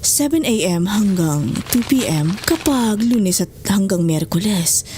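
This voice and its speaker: A woman's voice: medium pitch, animated, a little slow, noisy background, lightly acted. A woman playing a kindly but businesslike middle-aged aunt who runs a café, giving motherly instructions in an audio drama.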